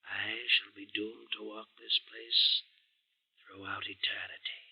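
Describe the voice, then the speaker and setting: A man's voice: on the low side, flat delivery, sharp tone, husky, heavily acted, poor audio. An actor playing the menacing, remorseful ghost of a haggard man, whispering solemnly in an old-fashioned radio mystery. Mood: grave, warning. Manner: whispering, solemn, slow doom-laden pronouncements.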